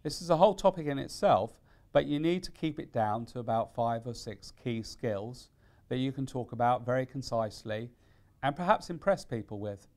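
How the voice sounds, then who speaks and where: Guy doing a careers tips talk, mid pitched voice, deliberate and stresses key phrases. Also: lively intonation, a little mellow. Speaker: careers consultant giving tips. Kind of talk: instructional presentation.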